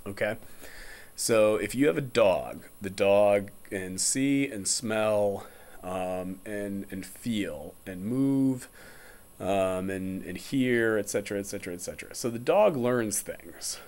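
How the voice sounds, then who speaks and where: A young American man in his twenties speaks in a slightly low voice. He lectures informally, explaining ideas deliberately, restating them and pausing to check that listeners follow. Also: a little mellow. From Connecticut, United States. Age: 20s. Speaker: philosophy lecturer.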